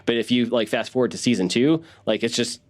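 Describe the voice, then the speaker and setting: A man talking casually in an interview as a voice actor, halting and repeating words as he explains. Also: mid-range voice.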